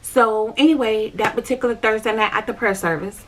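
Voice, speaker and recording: A woman's voice: fairly high pitch, brisk, a bit bright, a little hiss or hum, low-quality recording. A woman chatting on her vlog, telling a personal church story. She repeats herself and talks straight to her viewers. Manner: chatty, repetitive, addresses viewers directly. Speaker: vlogger.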